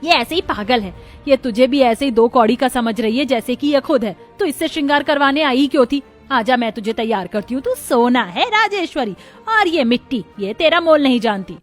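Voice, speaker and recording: A woman's voice: high voice, expressive, moderate pace, few pauses, faint music behind. A woman voicing a harsh, middle-aged, domineering rich mother-in-law in a cartoon moral story, scolding and taunting. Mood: scornful, commanding.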